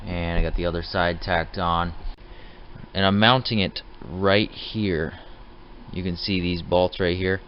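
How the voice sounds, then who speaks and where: A man's voice: deep voice, steady tone, measured pace. A man giving a casual, plainspoken how-to narration of his own DIY work, talking to viewers informally. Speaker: DIY truck restorer hosting his build videos. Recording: dull lo-fi camera audio, light noise.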